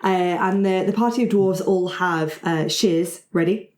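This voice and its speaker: A woman's voice: on the low side, measured pace, a little mellow, echoey room. A woman working as game master, narrating a tabletop roleplaying game and encouraging the players' ideas.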